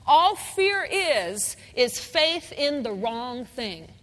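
High-voiced woman preaching in a reverberant hall. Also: big pitch swings, drawn-out words, fairly bright tone. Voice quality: singer. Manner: call-and-response, repeats phrases with rising fervor. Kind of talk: sermon.

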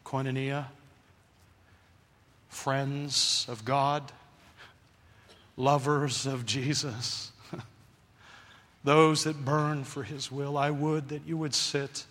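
Animated male preacher in an echoey hall. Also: medium pitch. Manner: animated, calls for congregation response. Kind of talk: sermon.

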